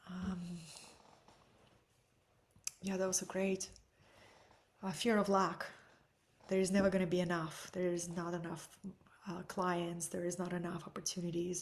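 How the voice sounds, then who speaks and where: Russian-accented woman, webinar teacher, soft, breathy delivery with whispered asides. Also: fairly low pitch, monotone, unhurried, pauses often. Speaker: energy healing teacher.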